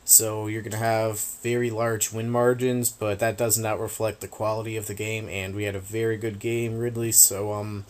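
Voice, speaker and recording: Laid-back low-voiced man commenting on a game. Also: steady tone, unhurried, a bit bright, slight background noise. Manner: rambling, laid-back, swears casually. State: voice getting raspy from long talking. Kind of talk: casual gameplay commentary.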